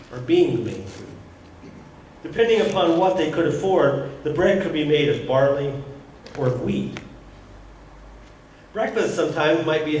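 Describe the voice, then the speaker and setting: A man reading a scripted introduction aloud in church, formal and measured, with an occasional stumble. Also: a little high. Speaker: church reader introducing a monologue. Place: large church sanctuary. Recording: very echoey church, distant mic, some noise.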